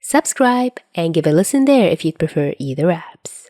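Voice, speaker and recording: Lively woman hosting an audio fiction channel. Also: fairly low pitch, moderate pace, no room sound, studio quality. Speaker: podfic narrator and channel host. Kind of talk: scripted channel announcements and outro.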